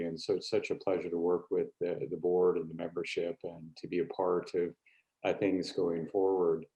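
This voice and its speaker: An American man introducing himself informally at an online meeting, speaking haltingly with pauses mid-phrase. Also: low-pitched, warm tone, low-quality recording. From California, United States. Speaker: board trustee.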